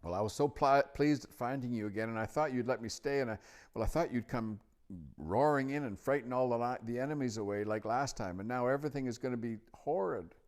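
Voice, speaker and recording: A man's voice: on the low side, sing-song, moderate pace, darkish tone, pitched above the performer's own voice. A man voicing an earnest, anxious little girl in a storybook, with a hesitant, pleading delivery. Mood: upset, pleading.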